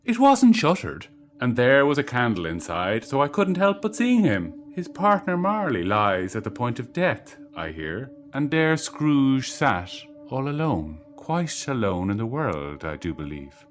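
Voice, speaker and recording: A man's voice: medium pitch, measured pace. A man in an amateur radio play voicing a genial Victorian husband sharing gossip, over faint music. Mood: gossipy. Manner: lively, gossipy storytelling.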